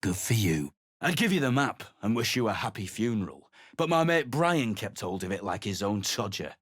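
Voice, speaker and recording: An actor playing a surly, battle-worn mercenary adventurer in a video game, speaking in a gruff, blunt, dismissive way. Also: male voice, fairly low pitch, big pitch swings, normal speed, no echo, clean studio sound.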